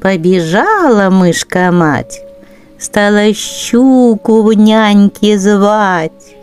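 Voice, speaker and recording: A woman's voice: medium pitch, animated, drawn-out words, darkish tone, clean tone, faint music behind. A woman storyteller narrating children's verse expressively.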